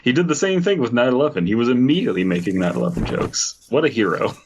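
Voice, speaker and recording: A man's voice: mid-range voice, very animated, fast. A man co-hosting a podcast in casual chat and story reading, blunt, irreverent and profane. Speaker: podcast co-host. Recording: clear background noise with clicks.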